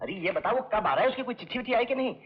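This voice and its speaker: A man's voice: very high voice, animated, very fast, slightly rough, faint music behind, full character voice. A man playing a breezy, teasing young elder brother in an old film. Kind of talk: old Hindi film drama acting. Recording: dull lo-fi old film soundtrack.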